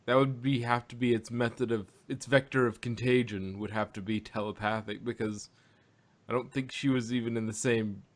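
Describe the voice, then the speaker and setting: A man hosting a casual review podcast, talking in a halting way and circling back over his own phrases. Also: medium pitch, fairly even intonation. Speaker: podcast host reviewing audio drama. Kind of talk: casual chat about sci-fi.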